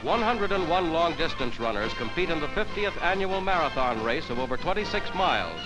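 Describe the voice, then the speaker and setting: A man's voice: fairly high pitch, big pitch swings, a little fast, low-quality recording. A man with an American accent narrating a 1940s newsreel in a formal, declamatory announcer style. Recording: 1940s newsreel soundtrack, loud music behind.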